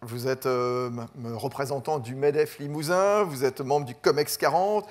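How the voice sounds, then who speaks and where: A man's voice: mid-range voice, normal speed. A male host moderating a debate, asking probing questions with many fillers.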